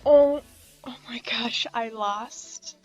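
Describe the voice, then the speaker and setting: A woman's voice: fairly high pitch, very slow, a little mellow, faint music behind. A woman hosting a gaming video with casual, chatty commentary, exclaiming informally and sometimes reading out game text. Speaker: gaming video creator and host. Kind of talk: casual gameplay commentary with read-aloud quest text.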